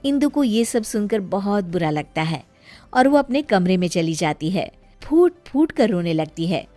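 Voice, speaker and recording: A woman's voice: medium pitch, very animated, moderate pace, dark tone, dry recording, soft background music. A woman reading a scripted moral story as its narrator.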